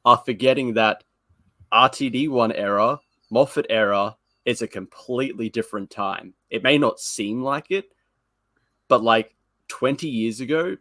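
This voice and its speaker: A man's voice: mid-range voice, a little slow, bright, forceful tone, no echo. A man hosting a live stream in casual chat, with a joking, wry way of talking. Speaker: host of a weekly fan live stream.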